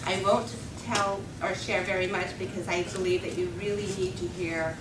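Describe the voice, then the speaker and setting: A woman's voice: fairly low pitch, fairly even intonation, normal speed. Woman giving a formal welcome in an echoey hall. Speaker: event moderator for advocacy groups. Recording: echoey hall, distant mic, background noise.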